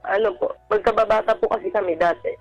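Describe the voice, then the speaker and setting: A young woman with a Filipino accent recounts a personal story as a radio caller. She is polite and deferential toward the hosts. Soft music sits faintly behind her. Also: medium pitch, fairly even intonation, fast, dull audio. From Philippines. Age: young adult.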